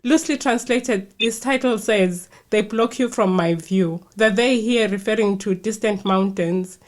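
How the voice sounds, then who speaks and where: A woman from Zimbabwe, hosting a podcast and reading her introduction in a clear, measured way. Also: mid-range voice. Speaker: podcast host. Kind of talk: scripted podcast intro, then interviewing.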